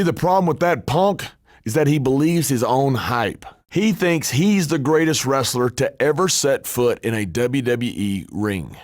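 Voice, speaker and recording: A man's voice: medium pitch, no echo, clean studio sound, close to an everyday voice. A man playing a cocky, scheming, trash-talking pro wrestler in scripted video game dialogue, with a brash, emphatic delivery. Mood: defiant, scornful.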